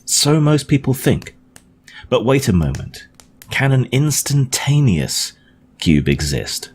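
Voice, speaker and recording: A man voicing an earnest Victorian gentleman scientist in an audiobook, lecturing his guests in a precise, emphatic, didactic way. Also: mid-range voice, big pitch swings, takes it slowly, voice pushed up. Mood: earnest, challenging. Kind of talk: audiobook character dialogue. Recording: clearly noisy home recording.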